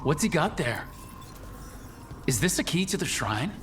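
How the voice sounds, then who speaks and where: A man playing a practical young male adventurer in a video game, speaking in a direct, brisk way. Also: a little high, big pitch swings, fairly bright tone, slight background noise. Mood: businesslike.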